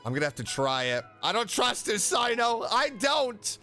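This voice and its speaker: Man, high voice, bright tone, effusive, playful, casual reaction commentary, faint music behind. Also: very animated, moderate pace. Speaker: YouTube streamer reacting to game voice lines.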